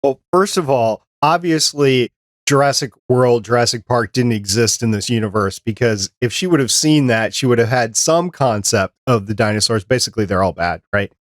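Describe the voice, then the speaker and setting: Man, podcast host, casual discussion, asks questions, medium pitch, close dry recording. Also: moderate pace, no echo. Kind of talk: casual review discussion of a cartoon.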